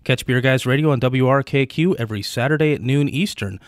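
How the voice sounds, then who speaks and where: A man's voice: mid-range voice, a little fast, no room sound, studio quality. A man co-hosting a radio show, talking and announcing in a conversational broadcast style.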